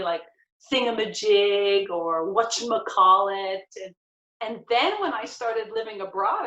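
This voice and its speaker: American woman, 40s, host, chatty, animated questions, roomy echo, muffled call audio. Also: mid-range voice. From United States. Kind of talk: casual video-call interview. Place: home room with bookshelf. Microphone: video call. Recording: echoey, dull video-call audio.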